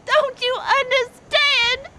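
Over clearly noisy, amateur microphone sound comes a woman acting as a bereaved mother in a dramatic stage monologue. She speaks slowly and haltingly, heavy with emotion over the loss of her child. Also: very high voice, level tone. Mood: frantic anguish. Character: grieving Southern mother, bitter then raging over daughter's death. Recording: noisy amateur recording, wind-like microphone noise.

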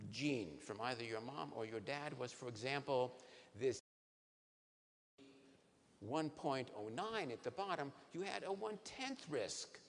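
A man in his sixties, a neurologist, giving a lively science lecture to patients on a conference stage, asking the audience questions. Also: medium pitch. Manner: animated, asks the audience questions. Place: large conference hall stage. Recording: reverberant hall, faint noise.

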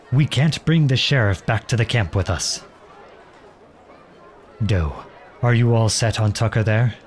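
A man playing an earnest, protective young outlawed prince in an audio roleplay, with a gentle, unhurried, folksy manner. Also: medium pitch, a little hiss or hum. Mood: urgent, then gentle, reassuring. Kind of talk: ASMR roleplay acting.

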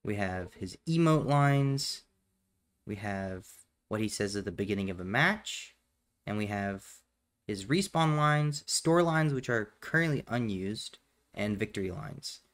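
A man narrating a step-by-step software tutorial in a matter-of-fact, instructional way. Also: lowish voice, expressive, measured pace. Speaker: tutorial presenter.

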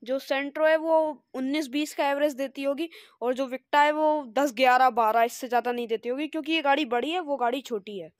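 A man's voice: very high-pitched, a little fast, no room sound, clean studio sound. A boy filming a casual travel vlog on a phone held at arm's length, outdoors and in a moving car. He talks in a chatty way and keeps saying "okay" and "guys". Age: young. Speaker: travel vlogger.

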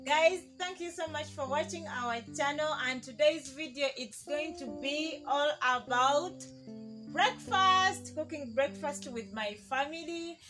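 High-voiced Ugandan woman vlogging outdoors. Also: measured pace, over music. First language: Luganda. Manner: repeats "guys" and thanks viewers often. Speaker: vlogger host. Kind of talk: casual vlog to camera. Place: outdoors in a village yard. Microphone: camera on a tripod, a few meters away.

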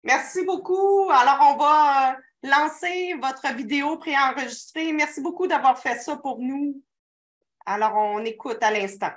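A woman runs a conference session, announcing the next speaker in quick runs broken by hesitations. Her voice sits notably high for a woman. She sounds brisk and practical. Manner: brisk, filler-heavy announcing. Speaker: session moderator. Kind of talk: conference moderation.

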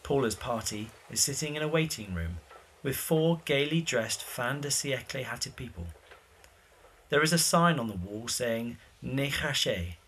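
A narrator reading scripted stage directions for an audio drama in a measured, descriptive way. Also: mid-range voice, expressive, fairly bright tone, a little hiss or hum, low-quality recording.

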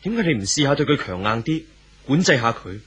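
An actor playing a frank, troubled lover in a 1970s radio drama, firm and reasoning, with a Hong Kong Cantonese accent. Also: male voice, medium pitch, sing-song, quick pace, a bit bright, husky, lo-fi sound, exaggerated voice. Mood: firm, reproachful. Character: frank, troubled lover confronting a married man.

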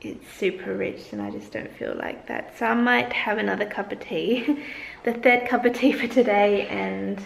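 A young woman with a worn, croaky voice rambles through her day to the camera, laughing often. Her voice has a gravelly edge. She is filmed at arm's length in a roomy kitchen, with faint ambient noise behind her. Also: mid-range voice, expressive, normal speed. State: croaky, tired voice. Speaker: vlogger. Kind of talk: casual daily vlog. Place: home kitchen. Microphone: handheld camera at arm's length.

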